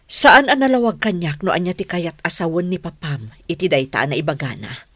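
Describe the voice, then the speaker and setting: A woman's voice: lowish voice, expressive, brisk, a bit bright, no echo. A woman with an Ilocano accent acting in a radio drama as a respectful, careful household servant. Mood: careful, earnest.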